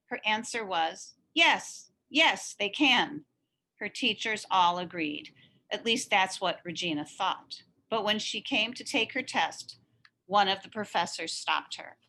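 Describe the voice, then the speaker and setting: A woman reading a children's story aloud in an expressive, animated narrating style. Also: mid-range voice. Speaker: story reader.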